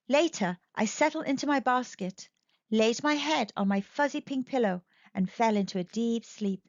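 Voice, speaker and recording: Woman, children's storyteller, high voice, expressive scripted narration, slightly dull echoey recording.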